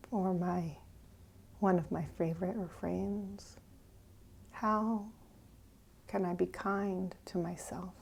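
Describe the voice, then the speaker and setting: A woman with a soft-edged, dark and relaxed voice leads a guided meditation at a very slow pace. She is gentle and leaves pauses between phrases. Her words often trail away into a breathy near-whisper. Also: medium pitch, slight background noise. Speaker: meditation teacher. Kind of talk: guided meditation, unhurried and instructive.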